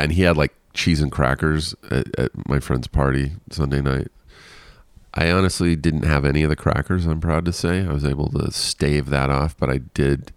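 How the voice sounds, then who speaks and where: A man's voice: rumbling low, creaky, no room sound. A man hosting a casual solo podcast, rambling and self-deprecating with frequent laughs, sounding congested and nasal. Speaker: podcast host.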